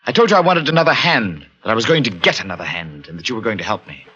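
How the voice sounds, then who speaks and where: An actor playing an obsessed, half-mad pianist in a 1940s radio horror drama, with an intense, brooding, theatrical delivery. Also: male voice, on the low side, lively intonation, rapid-fire, sharp tone, husky, highs cut off, heavily acted. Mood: desperate. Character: obsessed, half-mad pianist who lost his hand. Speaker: lead actor and first-person narrator.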